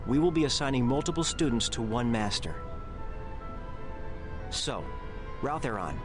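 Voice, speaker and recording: An actor playing an earnest Jedi grand master who leads an academy in a video game, speaking in a measured, formal way. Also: male voice, medium pitch, lively intonation, natural voice. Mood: formal, welcoming. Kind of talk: scripted video game voice acting. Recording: music behind the voice.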